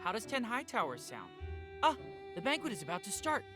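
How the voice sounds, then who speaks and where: A man's voice: sits high, wildly swooping pitch, fairly quick. An actor playing a courteous but pushy suited man in a video game, polite and insinuating. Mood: courteous, insistent. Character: smooth, pushy, courteous suited male admirer at banquet. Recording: music under the voice.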